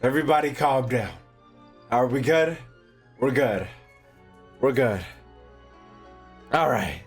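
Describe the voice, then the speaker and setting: A man's voice: medium pitch, slow pace, slightly rough, faint music behind. A man reacting casually to a video game as a streamer, joking in crude slang and swearing freely. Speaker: gaming streamer.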